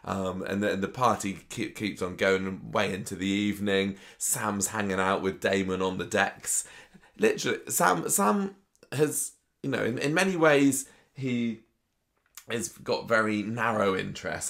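A deep-voiced man, hoarse from a sore throat, chats through a soap recap. He talks at an easy pace and slips into mocking impressions of the characters now and then. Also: unhurried, fairly bright tone. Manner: chatty, mimics characters in exaggerated voices. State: sore throat, losing his voice. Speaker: podcast co-host. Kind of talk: casual soap review chat.

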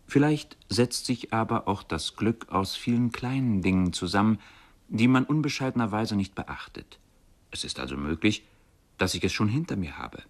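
A reflective man from a poor village in a radio play. He speaks in a lowish voice. His telling is measured, literary and contemplative. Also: animated. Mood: contemplative, wistful.

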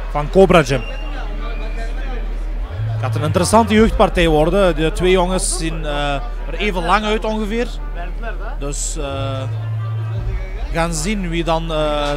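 A man's voice: a little high, moderate pace, pauses often, music in the background, crowd noise. A man giving live kickboxing commentary as a fight commentator. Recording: noisy event hall ambience.

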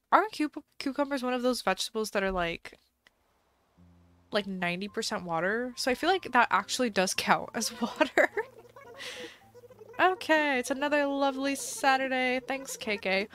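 A woman's voice: high voice, animated, normal speed, fairly bright tone. A woman live streaming a game in casual chat, chatty and giggly, her voice slightly stuffy from a cold. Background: faint background music.

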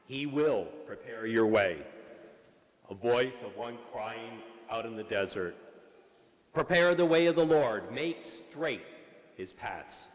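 An older man, a deacon, giving a solemn, measured and formal Gospel reading. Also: mid-range voice, animated. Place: large church indoors, at the pulpit. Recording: long hall reverb.

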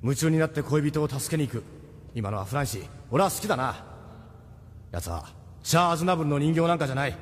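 A man's voice: fairly high pitch, lively intonation, fast talker. A man playing an easygoing, sympathetic captain of a rebel crew in an audio drama, with a frank manner. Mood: fond, accepting.

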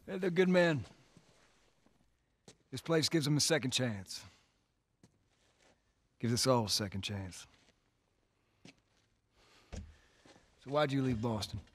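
A man's voice: medium pitch, takes it slowly, pauses often, a bit bright. An actor playing an earnest, wary middle-aged family man in a survival video game, plain-spoken with a Southern US drawl. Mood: sceptical. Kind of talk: cinematic video game acting. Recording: music behind the voice.

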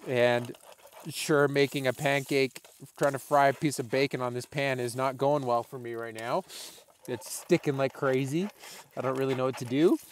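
Candid cold man vlogging in a tent. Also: medium pitch. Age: late 20s. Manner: thoughtful, candid, self-deprecating, halting restarts. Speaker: outdoor filmmaker. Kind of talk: casual reflective vlog narration. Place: inside a small tent on a snowy mountain summit.

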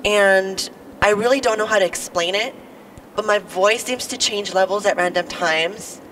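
Young woman w a low voice doing a teasing comedy bit outside, bit sick, mic sounds far off w faint noise. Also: moderate pace, a bit bright. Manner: playful, teasing asides to the crowd. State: a little sick. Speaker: performer. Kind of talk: stand-up comedy monologue. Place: outdoors on building steps at a podium. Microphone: podium mic.

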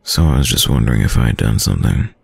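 A man playing a shy, smitten young student in an intimate boyfriend roleplay, deep-voiced, with a hesitant, stammering manner. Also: animated, fast, gravelly, no room sound, exaggerated voice. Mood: flustered. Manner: hesitant, stammering, nervous chuckles. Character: shy, smitten young male student gamer, a caring crush.

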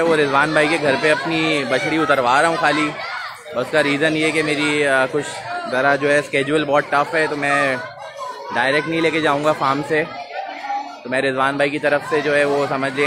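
A man hosting a live stream outdoors, greeting viewers by name as he talks through the scene. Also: fairly high pitch. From Pakistan. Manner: chatty, greets viewers by name, repeats mashallah. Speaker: livestream host. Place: city street outdoors. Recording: busy street noise and crowd chatter.

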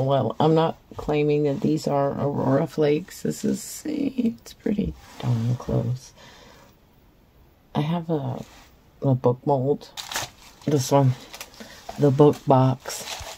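Craft host, woman, hoarse and gravelly, casual self-mocking ramble, slight room hum. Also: extremely low pitch, dark tone. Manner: rambling, self-deprecating, stammers and repeats. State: raspy from cheering at a concert. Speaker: resin craft channel host. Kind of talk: casual chat while crafting. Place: craft table indoors.

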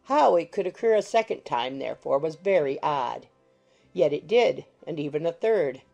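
A woman's voice: low-pitched, lively intonation, normal speed, gravelly, mellow, muffled recording. A woman narrating a classic novel as a scripted audiobook, in a literary reading style. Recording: music behind the voice.

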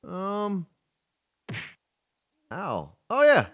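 A man's voice: high-pitched, wildly swooping pitch, drawn-out words. A man from Florida chatting casually on a live game stream, answering viewers between gameplay remarks. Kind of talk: casual live-stream chat while playing.

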